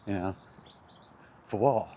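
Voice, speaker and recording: Indignant ranting man, low voice. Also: slow, mellow, a little hiss or hum. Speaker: gaming vlogger. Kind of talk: casual spoken rant.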